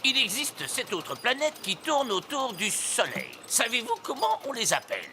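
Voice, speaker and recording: Animated, earnest rabbit museum guide. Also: male voice, very high-pitched, moderate pace, a little hiss or hum, exaggerated voice. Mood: earnest, instructive. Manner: instructive, showman-like. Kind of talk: French cartoon dub.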